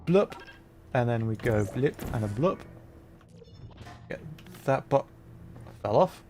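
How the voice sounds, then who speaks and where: Guy streaming a game, mid voice, jokey and self-mocking, mutters thru stuff out loud, bit of background noise. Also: medium pitch, lively intonation, slow pace. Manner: self-deprecating, playful, thinks aloud with repeated words. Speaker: livestreamer playing a puzzle game. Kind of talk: casual livestream gameplay commentary, chatting with viewers.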